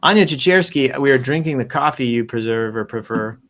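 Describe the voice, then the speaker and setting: Male host, gravelly creak, mid-range pitch. Casual read-aloud and commentary, playful, rambling, big emphasis. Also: animated, a little fast, a bit bright. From American South. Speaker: show host. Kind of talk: casual commentary while reading posts aloud.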